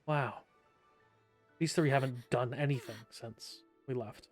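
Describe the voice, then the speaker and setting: A mid-pitched man giving sarcastic, casual commentary as he plays, humming and scatting between lines. Game music plays faintly behind his voice. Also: unhurried. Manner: sarcastic, hums and scats while playing. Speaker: streamer.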